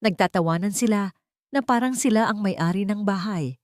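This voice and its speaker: A woman narrating a scripted romance drama story in a steady, measured reading. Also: mid-range voice, no echo, clean studio sound.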